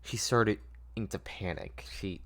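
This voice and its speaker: A man's voice: on the low side, a little slow. A man narrating a scripted story informally at a table read, stumbling over the script as he goes.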